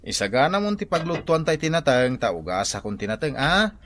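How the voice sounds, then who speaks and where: A man playing a blunt, bossy older father in an Ilocano comedy radio drama, with a husky voice and an Ilocano accent. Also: medium pitch, sing-song, fairly quick, a bit bright, a little hiss or hum. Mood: bossy, irritated.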